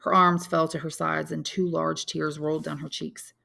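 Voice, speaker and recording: A woman narrator reads literary prose from a script. Her voice is on the low side, with a clearly creaky texture. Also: flat delivery, normal speed, pushed and bright. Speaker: audiobook narrator, English teacher.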